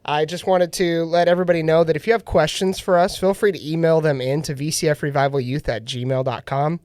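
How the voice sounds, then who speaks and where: A man's voice: a little high, steady tone, brisk, darkish tone, dry recording. A man hosting a casual radio talk show, sounding nasal after being sick, laughing often as he tells a story. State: nasal from recent illness. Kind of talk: casual chat.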